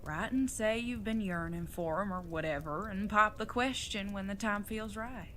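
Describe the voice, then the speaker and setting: A woman's voice: medium pitch, normal speed, a bit bright, a little hiss or hum, natural voice. A woman playing an older retired outlaw mother in an audio drama, folksy, plain-spoken and teasing, with affection underneath. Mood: reassuring, frank.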